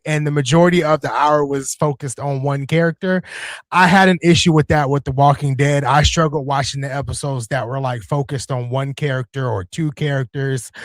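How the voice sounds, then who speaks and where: A man's voice: a little high, fairly bright tone, no room sound. A man co-hosting a casual wrestling watch-along stream, chatty and opinionated, repeating himself between fillers. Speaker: co-host.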